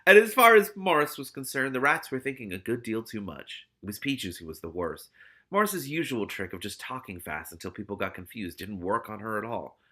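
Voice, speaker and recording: A man with a very bright, forceful voice reads a novel aloud as a host. His delivery is lively and swings widely in pitch, with casual asides between the narrated lines. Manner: animated, stumbles and breaks off to comment. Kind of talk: audiobook-style read-aloud with casual reactions.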